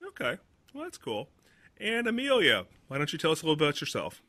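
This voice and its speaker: A man's voice: on the high side, huge pitch swings, fast, bright, forceful tone, no room sound. A man hosting a roundtable discussion, putting questions to his guests in a casual way with fillers. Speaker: podcast host, moderator.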